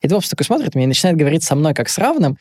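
A man's voice: fairly high pitch, big pitch swings, racing, a little mellow, slightly rough, no room sound, studio quality. A man who is a guest on a casual podcast, talking in a plain and straightforward way and telling anecdotes. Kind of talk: casual chat.

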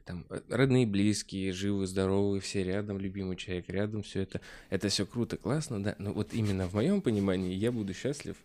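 A man's voice: on the low side, fairly quick, hardly any pauses, darkish tone. A man talking casually in a podcast discussion, explaining his views earnestly and emphatically.

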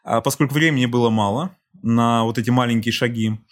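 A man's voice: mid-range voice, a little fast. A man who is a guest on an interview podcast, telling a story at length and acting out the people he quotes. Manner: explains at length, acts out quoted voices, small laughs. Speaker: podcast guest, creative director.

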